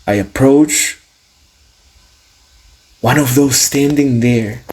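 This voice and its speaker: A man's voice: medium pitch, drawn-out words, darkish tone, breathy edge. A man reading scripture dramatically in a solemn, declamatory manner, stretching out phrases for emphasis. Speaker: student reader of scripture.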